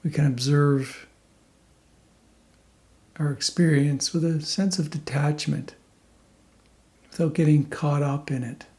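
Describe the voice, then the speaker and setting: A male meditation teacher speaks in a voice pitched a little higher than most men's. His delivery is very slow and calm, laid out in short phrases with generous pauses, as he guides the listener through a meditation.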